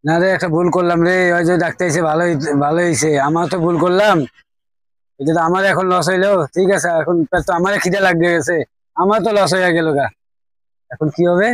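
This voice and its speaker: A man's voice: sits high, steady tone, fairly quick, no room sound, lightly acted. An actor playing a stubborn, self-pitying village man in a drama, grumbling with a Bangladeshi regional accent. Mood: regretful, self-pitying. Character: sulky, stubborn village man refusing to eat.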